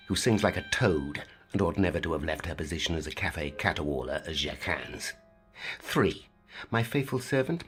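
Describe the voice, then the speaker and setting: An actor playing an imperious masked opera ghost in an audio drama, speaking in a measured, formal, threatening way. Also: male voice, deep voice, a bit bright. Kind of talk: full-cast audio drama acting.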